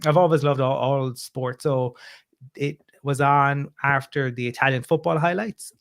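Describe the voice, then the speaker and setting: A man's voice: medium pitch, no room sound. An Irish man in his forties, a guest on a podcast, talking casually and rambling with many fillers and restarts. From Ireland.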